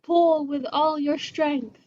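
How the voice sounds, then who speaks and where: A woman acts out a wise village woman in a folktale, high in pitch and resolute. She speaks in a commanding, declamatory way, as a leader to her people. The recording is muffled, with a clear buzzing hum behind her. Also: steady tone, takes it slowly. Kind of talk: dramatized folktale acting. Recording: dull lo-fi recording with loud hum and buzz.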